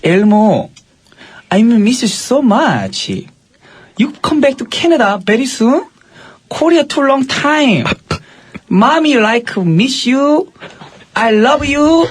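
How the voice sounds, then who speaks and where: A man voicing a doting immigrant mother who speaks broken English, in a high, sing-song voice. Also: lively intonation, slow pace, pauses often, slightly rough, full character voice.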